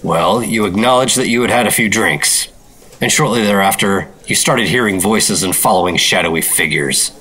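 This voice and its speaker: An actor playing a stern government secret agent in a 1950s-style noir radio drama, with a dry, clipped, official manner. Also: male voice, on the low side, moderate pace. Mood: dry, dismissive. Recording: loud music bed behind voice.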